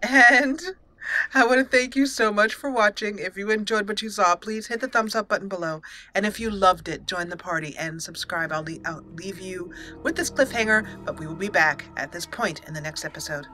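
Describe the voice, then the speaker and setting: A woman's voice: medium pitch, normal speed, sharp tone. A woman hosting a gaming channel, chatting casually and thinking aloud as she plays. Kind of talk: casual let's play commentary. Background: game music.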